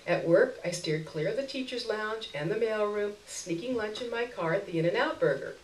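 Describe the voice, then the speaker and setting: A woman narrates a short story live. Her mid-pitched voice moves up and down with plenty of expression. The recording is roomy and a little far from the microphone. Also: lively intonation, moderate pace, a little hiss or hum. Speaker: story reader. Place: roomy indoor stage event.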